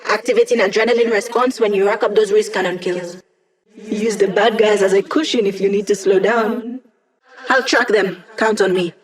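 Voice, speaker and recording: A woman's voice: medium pitch, fairly even intonation, moderate pace, lightly acted, rough recording. An actress playing a young female hacker and tech ally in a video game, upbeat, casual and playfully sardonic. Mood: confident, instructive.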